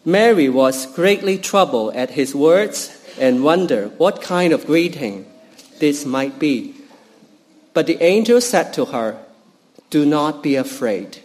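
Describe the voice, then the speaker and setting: Church reader, male, native Cantonese speaker, unhurried and hesitant delivery, voice a bit higher than average. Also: animated, darkish tone, rough recording. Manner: halting, careful reading with fillers and restarts. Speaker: scripture reader. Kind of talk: Bible reading in church.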